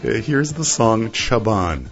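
Slow, wry Canadian man on radio. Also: lowish voice, sing-song, soft background music. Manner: wry, playful, slow and deliberate. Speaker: radio segment narrator. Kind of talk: scripted radio narration. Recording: dull lo-fi radio broadcast.

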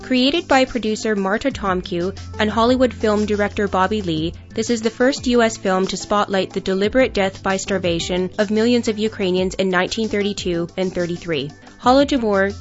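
Woman reading a promo over faint music. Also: mid-range voice. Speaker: ad announcer. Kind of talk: scripted promo read. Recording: slightly dull, with faint music behind.

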